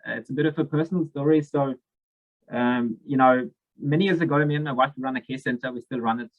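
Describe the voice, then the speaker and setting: A man's voice: medium pitch, fast, phone-quality sound. A man with a South African accent, speaking thoughtfully at a conference over a video call, with many fillers and restarts.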